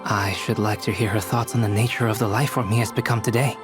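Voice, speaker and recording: A man playing a polite, scholarly researcher in a game dub, speaking in a measured, formal, analytical way. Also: fairly low pitch, little pitch movement, over music, lightly acted. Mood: grave, absorbed. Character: polite, scholarly young male researcher absorbed in theory. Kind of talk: English video game dub acting.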